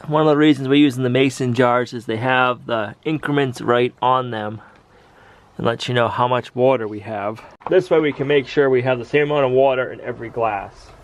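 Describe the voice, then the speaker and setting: A man's voice: mid-range voice, fairly even intonation, fairly quick, slight background noise. Chatty man narrating a homestead vlog. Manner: chatty, explains step by step. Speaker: homestead vlogger. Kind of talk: casual how-to vlog narration. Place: outdoors on a farm in winter.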